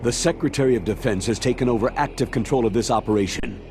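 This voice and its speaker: A man's voice: medium pitch, fast talker, darkish tone, a little hiss or hum, natural voice. An actor voicing a burdened male military commander who speaks earnestly and apologetically. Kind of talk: video game cutscene.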